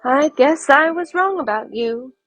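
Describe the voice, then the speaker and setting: A woman voicing a sweet, kindly old woman from a children's storybook, with a bright, reassuring manner. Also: sits high, expressive, measured pace, voice pushed up. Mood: sad. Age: older.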